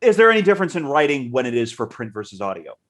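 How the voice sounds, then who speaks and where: A man co-hosting a podcast, relaxed, reading out listener questions in casual chat. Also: medium pitch, racing. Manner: relaxed, reads out questions, plays out quoted worries. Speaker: podcast co-host.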